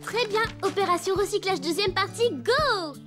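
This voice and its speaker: A woman's voice: very high-pitched, lively intonation, normal speed, over music. A woman voicing an upbeat, determined little girl in a cartoon, talking like an encouraging young team leader. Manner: bossy, encouraging, mission-leader talk. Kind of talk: French cartoon dubbing.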